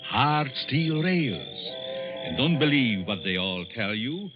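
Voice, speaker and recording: An actor playing a smooth, probing, menacing psychology professor in a 1940s radio thriller, deliberate and theatrical. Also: male voice, medium pitch, very animated, over music. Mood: grim, urgent. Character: smooth, menacing middle-aged psychology professor, coolly persuasive. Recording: dull vintage 1940s radio recording.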